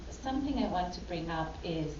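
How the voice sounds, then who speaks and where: Woman panelist, wry and halting; dull distant hall recording with background noise. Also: on the low side, lively intonation. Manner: self-deprecating, halting, with fillers. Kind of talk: anecdotes at a panel. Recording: echoey hall from far off, dull, noisy.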